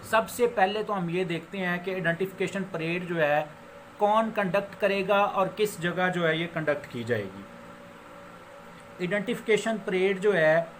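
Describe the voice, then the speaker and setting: Male law lecturer, Pakistani accent, higher-pitched voice, didactic and repetitive, English legal terms mixed in, faint noise behind. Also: a bit bright, poor audio. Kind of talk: informal explanatory law lecture. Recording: noticeable background noise.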